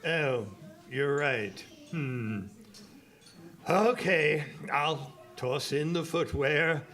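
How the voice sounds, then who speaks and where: A man's voice: a little high, drawn-out words, a bit bright. A man playing a fussy but kindly fairy godparent who teaches at an academy, theatrical and a little hesitant, in children's audio theater. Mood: reluctant, exasperated.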